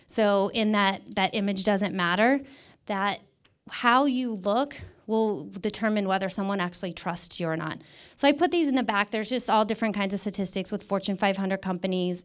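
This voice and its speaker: An American woman in middle age addresses an event from an indoor stage, holding a microphone. Her voice sits at an average pitch. She presents in an emphatic, encouraging public-speaking style, repeating words to drive them home. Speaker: guest speaker, image consultant. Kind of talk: motivational public talk. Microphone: handheld microphone.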